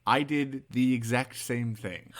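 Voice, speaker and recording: A man's voice: medium pitch, fairly even intonation, slow pace. A man in his twenties with a Canadian accent chatting casually as a podcast co-host, joking with mock emphasis. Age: 20s. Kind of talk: casual chat.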